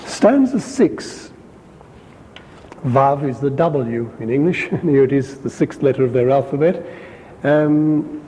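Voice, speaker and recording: A man reading scripture aloud in a measured, deliberate way, pausing to explain points to his listeners as a Bible teacher. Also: medium pitch, animated, warm tone, big-room sound, a little hiss or hum, poor audio. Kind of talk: slow scripture reading with brief commentary.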